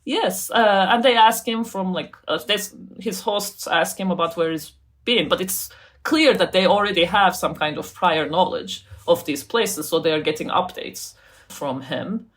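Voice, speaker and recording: A woman's voice: fairly low pitch, a little slow. Laughing, thoughtful woman from Turkey. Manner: thoughtful, laughs often, many restarts. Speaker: author and scholar guest. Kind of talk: casual interview talk.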